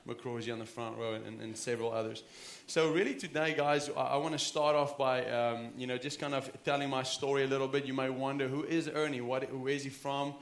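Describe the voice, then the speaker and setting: A man's voice: mid-range voice, steady tone, fairly quick, few pauses, reverberant. A man in his thirties with a South African accent, preaching an earnest, conversational sermon with frequent restarts. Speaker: pastor. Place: church sanctuary.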